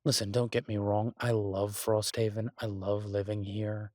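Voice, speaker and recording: A man playing an exasperated restaurant chef venting to his partner in a halting, grumbling audio roleplay. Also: lowish voice, little pitch movement, a little mellow, no echo, clean studio sound, close to an everyday voice. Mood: resigned. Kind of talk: boyfriend audio roleplay, conversational monologue.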